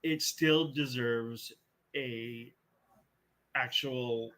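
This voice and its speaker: Male podcast host, bright forceful voice, emphatic and opinionated in casual panel chat. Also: mid-range voice, fairly even intonation, extremely slow, low-quality recording.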